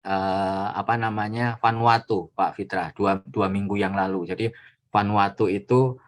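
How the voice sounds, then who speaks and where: Guy guest lecturer, lowish voice, explaining stuff informally, a bit hesitant. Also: steady tone, unhurried. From Indonesia. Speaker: trade attaché, guest lecturer.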